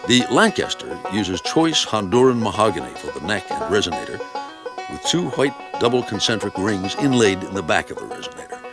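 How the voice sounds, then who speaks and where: A man reading a scripted promotional voice-over in a smooth delivery. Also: on the low side, big pitch swings, fairly quick. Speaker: narrator. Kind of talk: scripted narration. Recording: music bed under voice-over.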